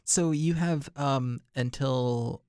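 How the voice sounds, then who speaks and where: A man's voice: medium pitch, slow, darkish tone, clear, resonant tone, no echo, clean studio sound. A man hosting a podcast interview, asking questions with many hesitant restarts, encouraging his guest and laughing a lot. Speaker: podcast host. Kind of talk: casual interview chat.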